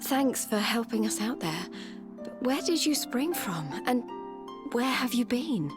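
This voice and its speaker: Highish, unhurried young heroine. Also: female voice, big pitch swings, over music. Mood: relieved, curious. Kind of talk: video game character acting.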